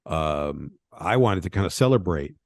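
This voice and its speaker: A man's voice: sits low, animated, unhurried, dry recording, clean studio sound. A man from Venezuela, a game designer talking loosely in a podcast interview, often tagging thoughts with "right?". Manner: rambling, tag questions like "right?".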